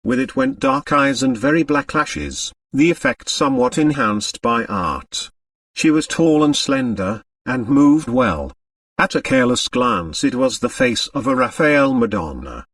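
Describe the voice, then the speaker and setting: A man's voice: medium pitch, sing-song, a little slow, fairly bright tone, no room sound, clean studio sound, far from the mic. A man reading a novel aloud as scripted, dramatic audiobook narration.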